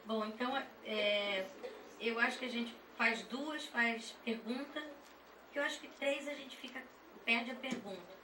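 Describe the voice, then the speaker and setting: A Brazilian woman moderating a session informally and off the cuff, halting and correcting herself as she goes. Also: on the high side. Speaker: session chair introducing speakers. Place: echoey session room at a university dance school. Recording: echoey room, distant mic, clear noise.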